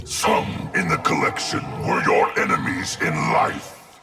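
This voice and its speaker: An actor voicing a menacing collective of many souls in a fighting game, solemn, with a raspy, breathy growl. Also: male voice, measured pace, far from the mic, loud music behind the voice, natural voice. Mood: threatening. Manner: solemn, speaks as "we". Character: menacing hive of many souls speaking as one being. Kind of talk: video game fighter dialogue.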